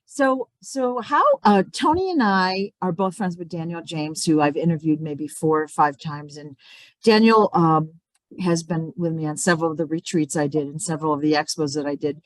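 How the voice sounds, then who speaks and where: Low-voiced woman hosting an interview. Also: moderate pace, dry recording. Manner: friendly, brief encouraging prompts. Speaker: interview host. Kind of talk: casual interview.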